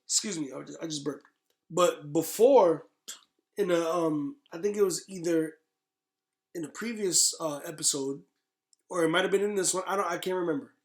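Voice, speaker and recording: Emphatic higher-voiced man in an echoey room. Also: moderate pace. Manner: emphatic, repeats phrases for stress. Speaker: podcast co-host. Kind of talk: casual opinionated movie chat. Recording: roomy, echoey sound.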